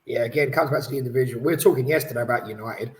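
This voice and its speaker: A man co-hosting a casual football podcast, talking in a rambling, animated way with many restarts. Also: medium pitch, rapid-fire. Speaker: podcast co-host. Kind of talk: casual chat. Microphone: headphones on, studio mic.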